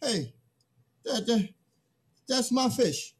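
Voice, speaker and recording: A middle-aged man playing a cocky, whiny, childish new human in a play reading, with a husky voice. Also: extremely high pitch, animated, extremely slow, exaggerated voice. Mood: petulant.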